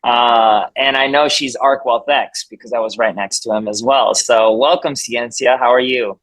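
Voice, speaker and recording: A man's voice: mid-range voice, steady tone, normal speed, fairly bright tone, no room sound, low-quality recording. A man whose first language is Spanish, hosting a casual video call, chatty and upbeat with frequent restarts. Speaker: community call host.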